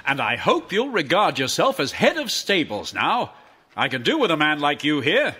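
An actor playing a grateful, authoritative farmer in an audio drama. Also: male voice, fairly high pitch, huge pitch swings, normal speed, sharp tone, breathy edge, exaggerated voice. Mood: grateful, brisk.

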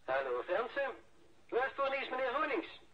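A breezy, needling male newspaper editor in a radio play. His high voice moves animatedly, with a Flemish accent, on a muffled old recording. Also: sing-song, normal speed. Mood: breezy, teasing. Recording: dull, faintly noisy old radio recording.

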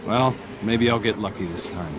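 An actor playing a guarded, earnest young warrior in a video game, speaking in a grave, hushed, troubled manner. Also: male voice, on the low side, normal speed, noisy background, natural voice. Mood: guarded, apologetic. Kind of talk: dramatic video game dialogue.

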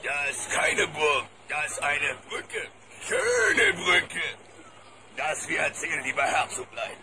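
Slow, dopey monster henchman. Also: fairly high pitch, very noisy. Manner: slow, dopey, broken grammar. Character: dim-witted, slow, simple-minded monster henchman. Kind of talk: cartoon audio drama acting.

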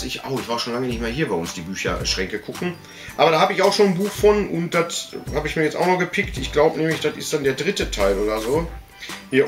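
A middle-aged man giving a casual, rambling show-and-tell as a video host, chatty and colloquial, with frequent tag questions. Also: fairly high pitch. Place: indoor room full of collectibles.

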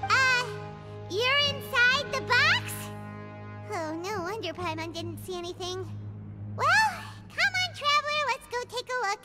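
Tiny squeaky game fairy girl, super high, bouncy and chirpy, loud music behind. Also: very animated, a little slow, exaggerated voice. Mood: curious. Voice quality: squeaky, childlike. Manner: chirpy, refers to herself in the third person. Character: small excitable floating fairy companion, childlike girl. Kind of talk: animated video game acting.

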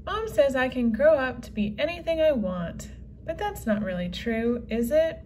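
A woman voicing an excitable young girl. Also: high voice, normal speed, a little hiss or hum. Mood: eager.

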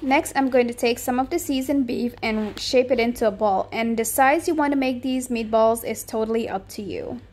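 A woman with a slightly high voice narrates a cooking tutorial as the channel's host. Her delivery is friendly and upbeat, explaining each step plainly for viewers at home. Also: moderate pace, few pauses, darkish tone, slight background noise.

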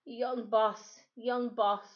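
A woman voicing a big, gentle, protective old sailor in a storybook, with emphatic, drawn-out dialect. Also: a little high, flat delivery, muffled recording, voice pushed up. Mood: gentle, soothing.

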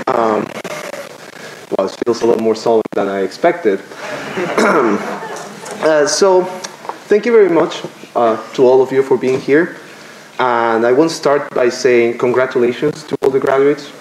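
A young man from Venezuela gives a graduation speech at a podium, halting and self-deprecating, with filler pauses. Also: medium pitch, darkish tone, a little hiss or hum. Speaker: commencement student speaker. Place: lecture room with podium. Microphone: podium microphone. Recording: roomy lecture hall echo.

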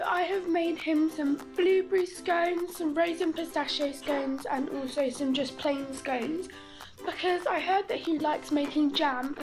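Teen girl host, hoarse and croaky, high voice, chatty, music under. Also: steady tone, a little slow, low-quality recording. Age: teens. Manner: chatty and upbeat, reacts with short exclamations. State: hoarse from a sore throat. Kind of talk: casual chat interview. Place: living room with bookshelves.

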